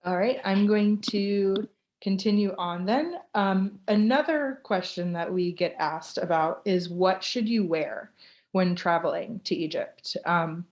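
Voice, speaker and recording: A woman's voice: medium pitch, a little slow, a little mellow, dull audio. An American woman from Florida, hosting a live online Q&A over a video call in a chatty, informal way and reading out listener questions. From United States (Florida). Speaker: host, tour ambassador.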